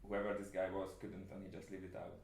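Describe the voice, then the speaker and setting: A man's voice: deep voice, completely flat delivery, fast, a little mellow, roomy sound. A man with a Hungarian accent, Hungarian his first language, talking in earnest and enthusiastic way in a casual book club conversation in a home studio. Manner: earnest and enthusiastic, laughs easily.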